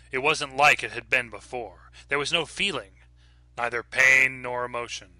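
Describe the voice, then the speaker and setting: A man reading a horror short story aloud in a measured, dramatic narration. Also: mid-range voice, pushed and bright, no echo, poor audio. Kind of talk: scripted narration.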